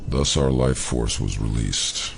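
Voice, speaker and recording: A man playing an ancient, menacing alien consciousness in an audio drama, speaking in slow, guttural pronouncements. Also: extremely low pitch, slight background noise, exaggerated voice. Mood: solemn, ominous. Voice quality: gravelly, guttural. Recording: music behind the voice.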